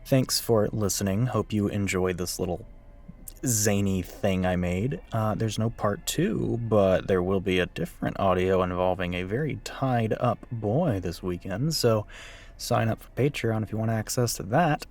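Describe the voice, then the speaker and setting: A man's voice: lowish voice, unhurried, darkish tone. A man speaking informally as an audio creator, giving a casual, friendly sign-off to listeners. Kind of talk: casual outro thanking listeners.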